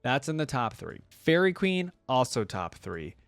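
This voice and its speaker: Male reaction creator, mid-pitched voice, casual and conversational, thinking out loud, very dry recording. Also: sing-song, a little slow, clean tone, dry recording, soft background music. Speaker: video creator reacting to game lore and skins. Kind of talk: casual reaction commentary with some reading aloud.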